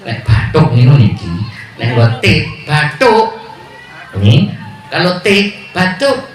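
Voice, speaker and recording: A man with a Javanese accent preaching a sermon at a village religious gathering, mixing jokes with loud calls and low asides. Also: big pitch swings, slow, soft background music. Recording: echoey PA sound from far off.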